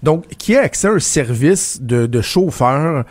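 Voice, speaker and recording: A man's voice: fairly high pitch, animated, measured pace, dry recording. A man with a Québécois French accent, a political commentator chatting casually and telling anecdotes with frequent restarts. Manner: storytelling, many restarts and repeated words. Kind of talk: casual radio chat.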